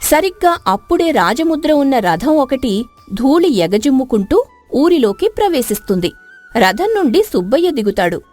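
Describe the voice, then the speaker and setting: A woman's voice: sits high, very animated, normal speed, a little mellow, soft background music. A woman narrating a scripted story in a dramatic storytelling style.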